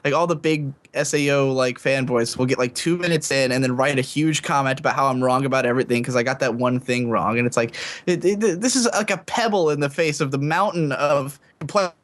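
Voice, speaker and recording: A man's voice: medium pitch, fairly quick, few pauses, fairly bright tone. A man talking casually on a podcast in a self-assured, opinionated, rambling way. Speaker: podcast guest, anime reviewer.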